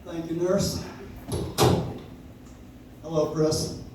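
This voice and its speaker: A man's voice: a little high, level tone, very slow. A man playing an earnest, loyal lawyer in a live staged radio play. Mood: earnest concern. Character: earnest, loyal young lawyer pleading with friend. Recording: roomy, distant audience recording with noise.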